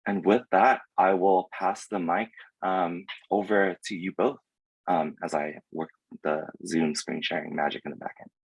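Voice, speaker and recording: A man's voice: low voice, fairly even intonation, measured pace, vocal fry, breathy edge, no room sound, poor audio. A man moderating an online event over a video call, reading speaker introductions from a script with some fillers.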